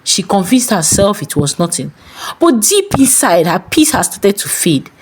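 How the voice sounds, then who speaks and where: A woman with a Nigerian accent narrating a scripted story dramatically, with deliberate pauses. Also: mid-range voice, big pitch swings, husky.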